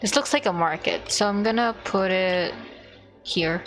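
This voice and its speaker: A woman's voice: mid-range voice, unhurried, fairly bright tone. A woman livestreaming a video game, chatting casually and muttering to herself in short fragments as she thinks aloud. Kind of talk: casual gaming stream chat, thinking aloud.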